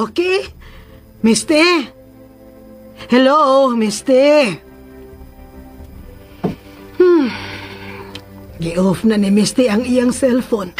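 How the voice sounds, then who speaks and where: An actress with a Cebuano accent playing a concerned middle-aged aunt and mother in a radio drama, coaxing and placating. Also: fairly high pitch. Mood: worried.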